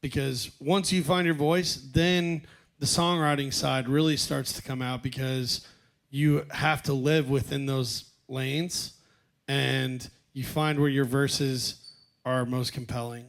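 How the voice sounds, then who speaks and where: Gravelly-voiced man giving measured advice. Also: mid-range voice, steady tone. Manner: measured, encouraging, mentor-like. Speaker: co-host. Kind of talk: casual feedback chat.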